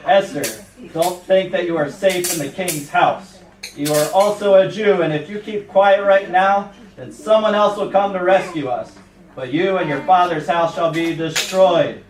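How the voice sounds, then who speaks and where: A man's voice: sits high, unhurried. A man playing a stern, urgent biblical guardian in an amateur puppet show, with emphatic scripted delivery. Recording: echoey room, distant mic, clattering noise.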